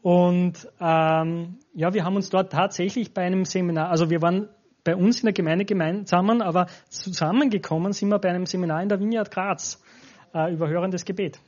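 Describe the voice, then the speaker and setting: High-voiced Austrian man, dull recording. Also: steady tone, fairly quick, darkish tone. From Styria, Austria. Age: 40s. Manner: informal, addresses listeners directly. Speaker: guest pastor. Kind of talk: informal spoken introduction to a church congregation. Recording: dull, lo-fi sound.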